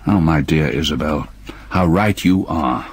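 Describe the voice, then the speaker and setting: An actor playing an older stepfather who narrates a haunting memory in a grave, measured voice with a husky tone. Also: very deep voice, noisy background. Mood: haunted. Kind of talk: radio drama acting.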